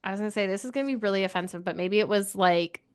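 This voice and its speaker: A woman's voice: medium pitch, very fast, smooth. Opinionated woman chatting casually. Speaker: book club podcast co-host. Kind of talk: casual book discussion chat.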